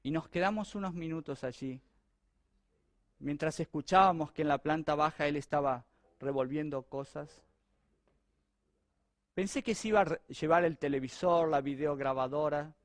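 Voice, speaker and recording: A middle-aged Argentine man, a pastor, telling a personal testimony during a sermon in a lively, conversational way. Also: on the high side, moderate pace, pauses often, dry recording. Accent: Argentine Spanish. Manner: animated, conversational storytelling to a congregation.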